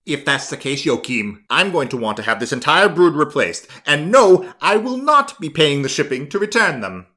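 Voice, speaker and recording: A man's voice: fairly high pitch, sing-song, moderate pace, hardly any pauses, pushed and bright. A man voicing a pompous, irritable mad scientist in an audiobook monologue, leaving an exasperated, conversational phone message. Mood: exasperated, demanding. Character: fussy, irritable mad scientist doctor, a self-absorbed customer. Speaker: audiobook narrator.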